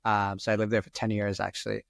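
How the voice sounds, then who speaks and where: A man's voice: fairly low pitch, monotone, fast, vocal fry, a bit bright, dry recording, studio quality. A man in his twenties, a first-language Arabic speaker, answering podcast questions in a rambling, casual way full of "like" and "um.". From Sri Lanka. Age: 20s. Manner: rambling, heavy use of "like" and "um". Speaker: guest.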